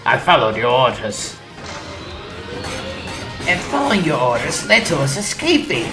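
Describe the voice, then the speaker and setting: Female actor as theatrical loyal robot officer, low voice, very animated delivery, eloquent, roomy echo, comic dub. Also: a little slow, over music, exaggerated voice. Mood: earnest, pleading. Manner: eloquent, dramatic, needling. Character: proud, theatrical, fiercely loyal robot second-in-command. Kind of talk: dramatic comic dub acting.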